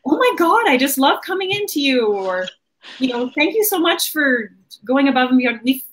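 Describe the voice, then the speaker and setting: Woman, thirties, high voice, informal, casual show host, conversational. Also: very animated, moderate pace, slight background noise, poor audio. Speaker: host of a marketing livestream. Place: small home office indoors.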